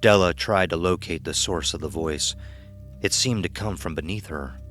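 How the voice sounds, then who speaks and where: A man narrating a scripted horror story in a measured, dramatic, suspenseful way. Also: sits low, fairly bright tone, soft background music.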